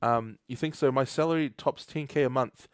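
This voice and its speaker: Young kiwi dude live coding, chats with viewers a lot, dry close sound. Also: male voice, mid-range voice, normal speed, clear, resonant tone, dry recording. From New Zealand. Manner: chatty, laughs often, reads chat aloud. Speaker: livestream host. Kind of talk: casual live coding stream.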